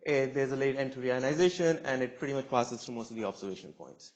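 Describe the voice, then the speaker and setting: A man's voice: medium pitch, quick pace, lo-fi sound. A man in his twenties, a student researcher presenting a scientific talk at a conference in a lecture room. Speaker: PhD student speaker.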